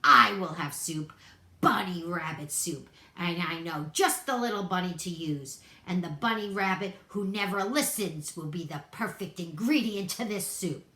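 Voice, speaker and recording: A grumpy, scruffy varmint creature, as a woman puts him on for a read-aloud, barking orders in a loud snarl. His voice has a bright, hard edge and sits in a roomy space. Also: on the high side, sing-song, slow pace, pitched above the performer's own voice, heavily acted. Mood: furious. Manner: snarling, bossy.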